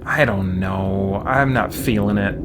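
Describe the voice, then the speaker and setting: A man's voice: lowish voice, slow. Rambling man streaming, noisy background. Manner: rambling, thinking aloud. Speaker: game streamer and host. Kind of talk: casual livestream chat while playing.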